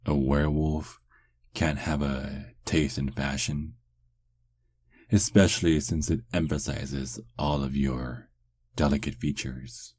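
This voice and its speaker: A man playing a sarcastic, flirtatious captive werewolf in an ASMR roleplay, teasing with lingering pauses. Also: rumbling low, fairly even intonation, a little mellow, husky, no echo, full character voice. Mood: cocky, amused.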